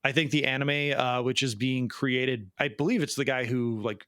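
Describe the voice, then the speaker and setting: A man with a medium-pitched voice chats casually as a podcast co-host. He is wry and sarcastic, and the sound is dry and close. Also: steady tone, moderate pace, bright, forceful tone, dry recording. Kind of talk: casual pop culture chat.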